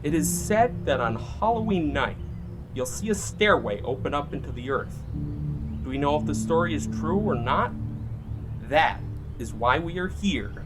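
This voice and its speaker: A man acting as an animated, high-voiced radio show host. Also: normal speed, music in the background. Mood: showy, mysterious. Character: male urban legend show host broadcasting from a haunted cemetery. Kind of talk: horror audio drama acting.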